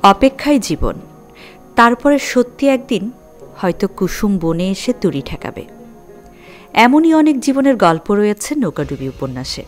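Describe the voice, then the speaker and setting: A woman's voice: medium pitch, big pitch swings, moderate pace, faint music behind. A woman presenting an audio drama with a scripted introduction.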